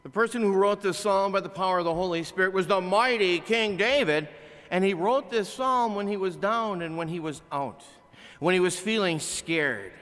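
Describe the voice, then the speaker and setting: An older man preaching a conversational sermon from a pulpit in a large chapel, swinging between loud, animated lines and hushed ones, picked up by a pulpit mic. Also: high voice, normal speed. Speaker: pastor. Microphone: pulpit microphone, filmed by a far camera. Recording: long chapel reverb.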